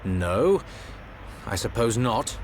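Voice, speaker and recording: A man's voice: medium pitch, big pitch swings, takes it slowly. A man playing a haughty, dignified crown prince in a video game, speaking formally and imperiously. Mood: guarded, formal. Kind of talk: video game cutscene acting. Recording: faint ambient noise.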